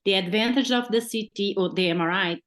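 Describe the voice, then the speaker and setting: A woman's voice: mid-range voice, a little fast, fairly bright tone. A woman doctor from Brazil with a Brazilian Portuguese accent, a guest on a podcast who explains step by step and keeps checking in with "right?". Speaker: guest physician.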